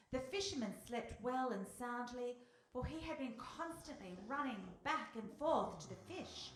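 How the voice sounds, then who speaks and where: A woman narrating a scripted fairy-tale radio play live on stage. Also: fairly high pitch. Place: live stage in a hall with audience. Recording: echoey hall, faint noise.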